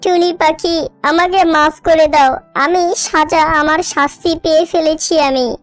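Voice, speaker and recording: A woman's voice: sits high, fairly even intonation, a little slow, faint music behind. A woman voicing a selfish wife crow in a children's cartoon, whiny and insistent. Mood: pleading, desperate.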